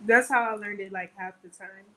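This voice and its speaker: A woman's voice: medium pitch, fairly even intonation, a little fast, a crowd in the background. An American woman chatting casually as a guest on a live stream. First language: English. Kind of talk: casual chat. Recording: clear background noise on livestream audio.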